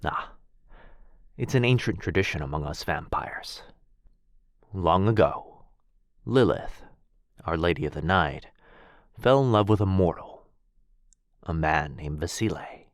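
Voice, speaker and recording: A man voicing a gentle, courtly male vampire lord in an ASMR boyfriend roleplay. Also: deep voice, expressive, a little slow, pauses often, no echo, studio quality. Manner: soft-spoken, courtly and reassuring. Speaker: voice actor.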